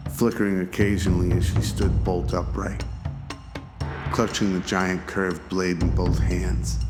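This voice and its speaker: A man giving scripted, dramatic narration for a radio drama, with music behind him. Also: low-pitched.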